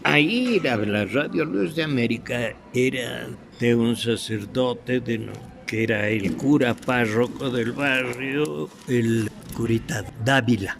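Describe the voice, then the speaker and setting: An older man with an Ecuadorian highland accent reminisces in an interview, halting and anecdotal. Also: vocal fry. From Quito, Ecuador. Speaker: veteran radio theatre actor being interviewed. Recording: noisy room recording.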